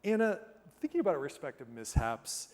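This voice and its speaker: A man's voice: on the high side, sing-song, moderate pace, a little mellow. A man moderating an onstage interview with upbeat, conversational questions. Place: conference stage. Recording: reverberant conference hall.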